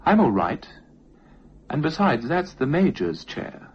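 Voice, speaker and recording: A man voicing a grave, matter-of-fact girl of about seven in a radio-style ghost story, speaking simply and with quiet certainty. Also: a little high, sing-song, a little slow, highs cut off, a little hiss or hum, pitched above the performer's own voice.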